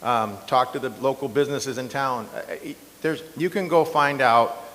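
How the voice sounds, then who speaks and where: A man, an incumbent county supervisor, answering in a candidate debate with a loud, slightly hoarse voice and a defensive, chatty manner. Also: mid-range voice, moderate pace. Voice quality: loud strong voice. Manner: defensive, conversational with fillers. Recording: long hall reverb.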